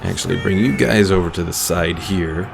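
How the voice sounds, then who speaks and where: A man's voice: low voice, moderate pace, gravelly. A man giving casual live commentary as he plays a game. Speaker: game streamer. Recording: loud game music behind. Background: battle game music and unit voices.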